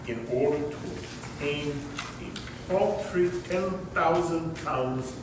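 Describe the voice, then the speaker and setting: A man playing a pompous, self-righteous, miserly old uncle in a staged play reading, with emphatic oratorical delivery. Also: a bit bright. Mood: indignant. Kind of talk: staged readers theater performance. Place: echoey room, distant mic. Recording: echoey hall from far off, rumbling noise.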